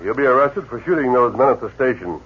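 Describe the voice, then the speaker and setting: A man playing a calm, commanding masked western lawman in a 1950s radio drama, firm and measured, with a husky voice on a tinny old radio recording. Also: mid-range voice. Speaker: actor playing the Lone Ranger.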